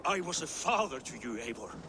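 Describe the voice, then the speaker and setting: A man's voice: medium pitch, sing-song, brisk, sharp tone, a little hiss or hum. An actor playing an aging former Norse king, a proud but wounded father, speaking formally and earnestly in a video game drama. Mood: pleading. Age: older. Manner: declamatory, earnest, formal phrasing.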